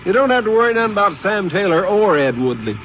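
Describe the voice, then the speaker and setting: Chatty folksy american sheriff, fairly high for a man, muffled noisy old radio. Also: sing-song, fast talker. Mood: chatty, curious. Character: folksy, gossipy small-town Texas sheriff. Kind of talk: old-time radio drama acting.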